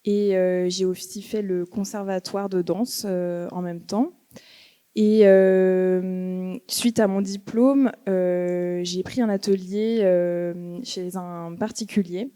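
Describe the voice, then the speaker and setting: Young woman, artist panelist, methodical, filler-heavy, warm tone. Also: on the low side, slow pace, smooth. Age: young adult. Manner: steady, methodical account with fillers. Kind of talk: panel talk. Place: museum auditorium.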